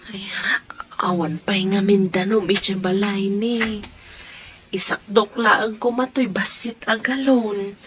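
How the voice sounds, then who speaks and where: A woman voicing a frail, sick, poor wife who speaks softly and wearily. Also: mid-range voice, fairly even intonation, slow pace, close to an everyday voice. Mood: weary.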